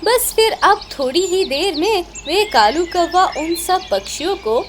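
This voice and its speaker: High-pitched woman narrating a story. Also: big pitch swings, unhurried, slight background noise. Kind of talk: scripted children's story narration.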